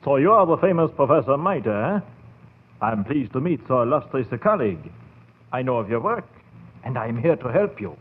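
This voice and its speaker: A man's voice: medium pitch, sing-song, fairly quick, noisy background, close to an everyday voice. An actor playing a sly, proud German doctor in a 1940s radio horror drama, theatrical and insinuating in his emphasis. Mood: smooth, ingratiating. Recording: tinny phone-like 1940s radio recording.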